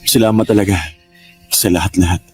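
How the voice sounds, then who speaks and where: A man playing a hesitant, apologetic younger boyfriend who speaks haltingly in a radio drama. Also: on the low side, steady tone, lightly acted. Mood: apologetic, gentle. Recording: music bed under voice.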